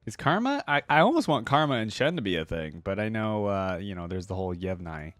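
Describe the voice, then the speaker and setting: A man's voice: fairly low pitch, sing-song, a little fast, a bit bright, soft background music. A man giving casual commentary as he reacts to game voice lines, hosting his own video.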